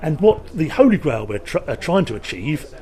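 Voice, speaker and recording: Jocular man pitching a product. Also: sing-song, normal speed, noisy background. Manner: jocular, emphatic salesman's patter with wry asides. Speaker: loudspeaker company representative. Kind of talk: informal product explanation at a trade show. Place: hi-fi trade show.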